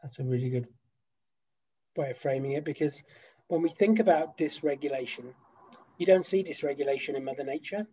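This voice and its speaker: Husky mellow-voiced man hosting a video chat. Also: a little high, fairly quick, long pauses. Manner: affable, chatty, agreeable interviewer. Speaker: host. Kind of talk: casual video call interview. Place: home office. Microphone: video call. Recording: dull lo-fi video call audio.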